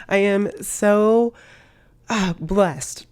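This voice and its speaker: A woman's voice: mid-range voice, extremely slow, darkish tone, smooth. A young woman hosting her own podcast, gushing and bubbly with hype-girl slang, sounding a little congested from a cold. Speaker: podcast host. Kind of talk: upbeat solo podcast monologue.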